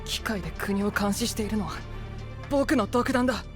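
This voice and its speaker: A man's voice: high voice, moderate pace, close to an everyday voice. A man voicing a stubborn, protective young male knight who answers defensively, over background music. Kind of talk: anime voice drama acting.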